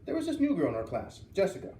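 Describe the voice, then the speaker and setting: Man putting on a sassy preteen mean girl, lively pitch. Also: fast. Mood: chatty, gushing. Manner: valley-girl slang, gum-snapping, sassy. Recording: roomy, distant mic, faint noise.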